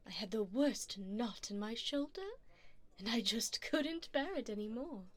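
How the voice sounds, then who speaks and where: Casting: actress as rich female vampire for ASMR roleplay; highish voice, lilting and unhurried, breathy, poised, doting with a predatory edge. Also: expressive. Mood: smooth, persuasive. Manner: poised, formal, teasing, often breathy and half-whispered. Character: seductive, wealthy vampire woman, doting and predatory. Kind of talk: ASMR roleplay, addressing the listener.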